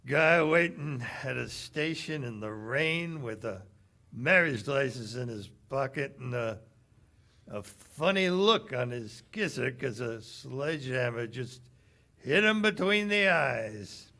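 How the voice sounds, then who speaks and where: Man acting a bitter, bright-voiced barroom cynic. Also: mid-range voice, animated, slow, full character voice. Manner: sardonic, slangy, clipped. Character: bitter, hard-drinking, heartbroken American cafe owner. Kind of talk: 1940s radio drama acting. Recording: live stage radio play with music.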